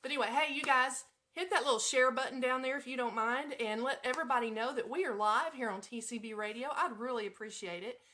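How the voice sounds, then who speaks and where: A woman with a Southern US accent hosting a live show from home, chatting to listeners in a playful, folksy, expressive way. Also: sits high, fast talker, hardly any pauses. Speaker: radio show host. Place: at home in an apartment.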